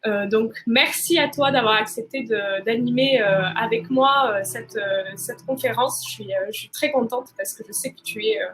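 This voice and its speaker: A high-voiced woman presents as the host of a live online conference. She explains things in a semi-formal way, often pausing on hesitations in the middle of her sentences. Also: a bit bright, a little hiss or hum, low-quality recording.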